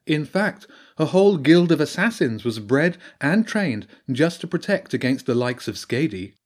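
A man voicing a wise female badger priestess in an audiobook, speaking in a measured, knowing way with solemn warnings. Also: fairly high pitch, very animated, voice pushed up. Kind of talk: audiobook character voice.

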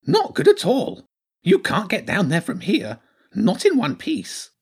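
A fussy, cautious little spiny creature from a storybook, voiced by a man, pitched high with big swoops. He frets and repeats himself, blunt and matter-of-fact about bad news. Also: huge pitch swings, unhurried, vocal fry, darkish tone, breathy edge, full character voice. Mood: gloomy, warning.

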